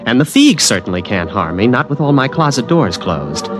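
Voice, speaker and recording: A young man narrates with a wry, sardonic lilt, his pitch moving freely up and down. Music plays clearly under him, on an old radio broadcast recording. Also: sing-song, normal speed. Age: young adult. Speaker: narrator and lead character, a student. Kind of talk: 1950s radio drama acting.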